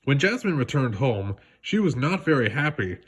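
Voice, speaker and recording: A man narrating a children's storybook aloud in an expressive way, occasionally stumbling on a word. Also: medium pitch, moderate pace, husky. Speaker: storybook reader.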